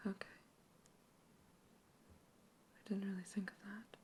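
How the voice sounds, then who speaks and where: A woman whispering in an ASMR roleplay as a polite human researcher questioning a captive alien, gently curious and reassuring. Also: medium pitch, flat delivery, slow pace, slight background noise, close to an everyday voice. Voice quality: soft whisper throughout.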